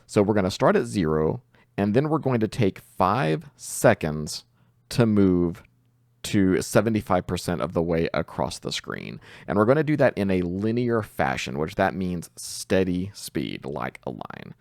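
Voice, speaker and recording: Male tutorial host, low register with vocal fry, informal step-by-step explaining, close voice-over sound. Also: very animated, a little slow, darkish tone, no echo. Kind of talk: casual screen-share tutorial narration.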